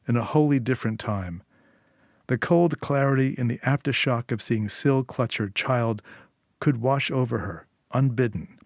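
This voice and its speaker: Male author for literary story narration, mid-pitched, very dry sound. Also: dry recording. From United States. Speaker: author reading own short story.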